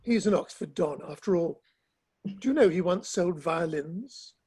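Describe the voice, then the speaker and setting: A gossipy, bemused Victorian literary gentleman performed by a man with a notably high, rough-edged voice. He speaks in clipped, droll phrases, as in a staged reading of a period play. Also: animated, unhurried, exaggerated voice. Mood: amused. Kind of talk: dramatic play reading over the internet.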